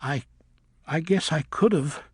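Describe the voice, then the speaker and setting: A man's voice: a little high, expressive, unhurried, darkish tone, breathy edge, dry recording, studio quality. A man voicing a young male bat who is timid and guilty, stammering apologies.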